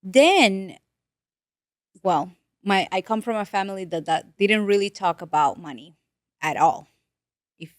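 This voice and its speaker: A woman's voice: mid-range voice, unhurried, pauses often, no echo, studio quality. A woman from Peru, a native Spanish speaker in her late twenties, telling her story earnestly as a guest financial advisor. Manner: earnest, animated storytelling with restarts. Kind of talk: casual interview talk.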